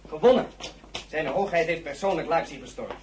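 An actor playing a proud, loyal count serving as a royal military aide, formal and earnest, in an audio drama. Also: male voice, on the high side, lively intonation, brisk, darkish tone, a little hiss or hum, lightly acted. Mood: proud, eager. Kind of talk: Dutch audio drama acting.

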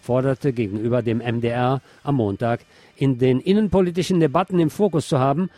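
A man's voice: medium pitch, a little fast, dark tone, dry recording. A man hosting a news show, reading press articles aloud and adding sardonic, emphatic commentary. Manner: sardonic asides, emphatic repetition.

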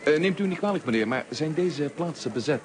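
A man's voice: a little high, lively intonation, a little fast, lightly acted. An actor playing a good-humoured young husband and father in a Dutch radio play, polite and bantering. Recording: noisy old radio play recording.

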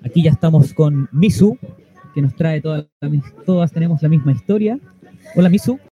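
A man's voice: on the high side, a little fast, soft background music. A Chilean man presenting a community radio show as its host. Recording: dull lo-fi radio audio.

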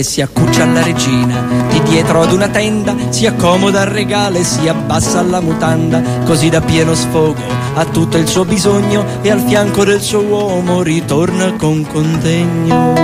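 A man with a Roman Italian accent performing live comic musical storytelling in a colloquial, theatrical way. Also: high voice. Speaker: stage performer and singer-songwriter. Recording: reverberant live stage with music. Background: guitar music.

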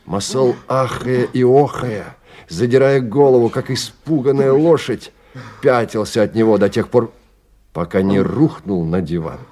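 A man's voice: medium pitch, measured pace, warm tone. A man narrating a Russian radio play as a scripted literary story.

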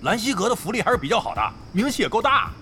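A man's voice: high voice, big pitch swings, fast talker, noisy background. An actor playing a brash, crafty middle-aged veteran gamer in a Chinese audio drama, cocky and slangy, grumbling and swearing as he banters. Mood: teasing.

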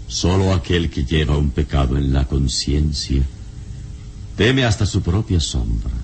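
A man playing a calm, wise hero in a classic radio drama, speaking in a solemn, philosophical, measured way. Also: heavily acted. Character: calm, wise male hero who investigates spirits. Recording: old radio recording, clear hiss and rumble.

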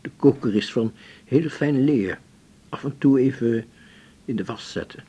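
An actor playing a courteous, composed older male patient in a radio drama, speaking gently and haltingly. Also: slightly rough, highs cut off, lightly acted. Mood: gentle, grateful. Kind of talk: 1980s Dutch radio drama acting. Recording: faint rumbling background noise.

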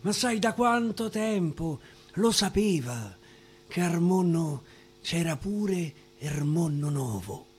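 A male stage actor with a Roman accent, performing a dialect verse monologue as a theatrical storyteller with dramatic pauses. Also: a little high, animated, slightly rough.

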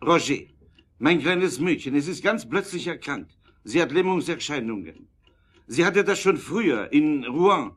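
A man's voice: on the high side, expressive, measured pace, slightly rough. An actor playing a desperate father pleading urgently for help in a German audio drama.

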